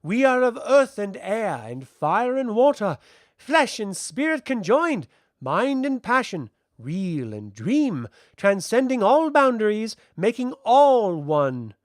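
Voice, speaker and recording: Guy reading as an ancient spirit kid, high voice, calm but grand and old-fashioned, storybook fantasy, really dry sound. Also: takes it slowly, no echo, exaggerated voice. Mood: lofty, proud. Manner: infernally calm, formal archaic speech. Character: ancient elemental being in child form, calm and lofty. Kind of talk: audiobook fantasy character voice.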